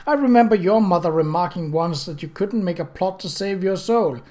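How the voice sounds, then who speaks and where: Man acting older aunt, storybook character, firm, tart, self-possessed, fairly high. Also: lively intonation, a little fast, a little mellow. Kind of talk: audiobook character voice.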